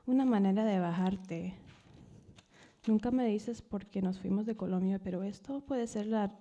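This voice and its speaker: A woman in her thirties performing poetry at a live reading, mixing English and Spanish, swinging between hushed whispers and loud cries. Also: medium pitch, creaky, highs cut off. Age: 30s. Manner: dramatic, shifting from whispers to screams. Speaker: poet reading at a live event.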